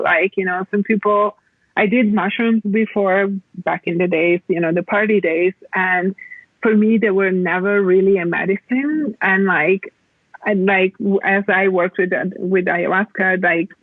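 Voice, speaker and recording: A woman from Italy, explaining reflectively as a podcast guest in a halting, conversational way over a remote call. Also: mid-range voice, no echo, narrow, tinny audio. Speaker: podcast guest, plant medicine practitioner.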